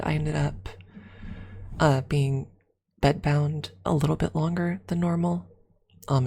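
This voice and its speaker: A woman telling personal stories as an ASMR streamer, casually chatting in a hushed, unhurried way with frequent pauses. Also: deep voice, vocal fry, breathy edge. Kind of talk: ASMR storytelling, casual chat.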